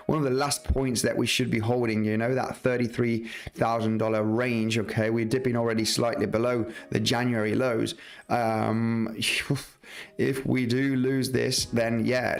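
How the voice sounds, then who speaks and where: Gravelly man talking through trading charts. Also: medium pitch. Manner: loose, many fillers and restarts, walks through charts. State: slightly rough voice. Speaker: market analysis livestream host. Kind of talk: live trading chart commentary.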